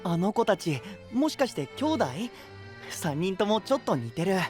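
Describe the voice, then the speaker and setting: An actor playing a friendly, soft-hearted boyish young hero in a Japanese anime audio drama, casual in manner. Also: medium pitch, sing-song, normal speed, soft background music, natural voice. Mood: wistful.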